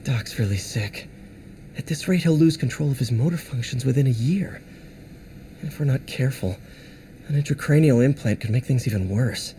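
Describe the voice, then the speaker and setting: An actor playing an earnest, loyal young lab assistant, sincere and boyish, in a video game. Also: male voice, mid-range voice, animated, moderate pace, mellow, slight background noise, lightly acted. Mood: worried. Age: young adult. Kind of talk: video game cutscene acting.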